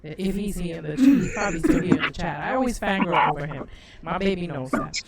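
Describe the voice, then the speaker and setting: A woman's voice: on the low side, expressive, fast, over a noisy crowd. A woman co-hosting a casual livestream talk, rambling and gushing with many restarts. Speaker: co-host.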